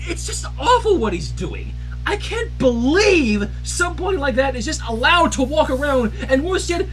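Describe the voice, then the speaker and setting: A man's voice: extremely high pitch, fairly bright tone, pitched above the performer's own voice, exaggerated voice, low-quality recording. A man voicing a hot-tempered young male dragon with whiny, outraged outbursts in a fan fiction reading.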